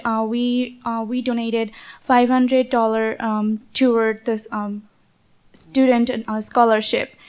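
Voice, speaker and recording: Young woman, from Bangladesh, student panelist, halting, higher voice. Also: clear, resonant tone. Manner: halting, many fillers. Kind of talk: panel talk.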